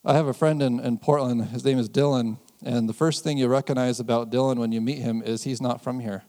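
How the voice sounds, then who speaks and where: An American man preaching a sermon in a conversational way, chuckling often and slowing down to stress key phrases. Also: mid-range voice, fairly even intonation, mellow. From United States. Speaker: pastor.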